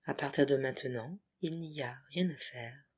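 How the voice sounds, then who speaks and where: Casting: meditation guide, mid-pitched voice, breathy and hushed, soothing short phrases for deep relaxation. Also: moderate pace. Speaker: yoga nidra guide.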